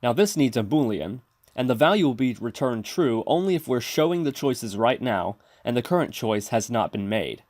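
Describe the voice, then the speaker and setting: A man presents a tutorial in a methodical, step-by-step way. His voice sits at a middling pitch and is recorded close and dry, with very little room sound. The delivery is that of scripted instructional narration. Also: big pitch swings, normal speed, darkish tone, no room sound. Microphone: close mic, screen-recording voice-over.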